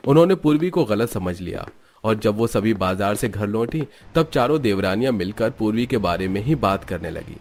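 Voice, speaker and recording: A man narrating a cartoon family story in a plain, even storytelling voice. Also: on the low side, moderate pace.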